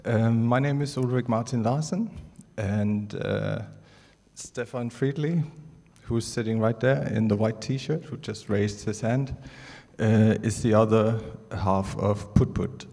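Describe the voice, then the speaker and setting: A middle-aged Danish man with a Danish accent, giving a prepared artist's talk on stage in a halting, measured way. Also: fairly low pitch, gravelly, dark tone. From Denmark. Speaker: artist presenting at a conference. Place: large indoor theatre stage. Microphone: handheld microphone. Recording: reverberant auditorium PA sound.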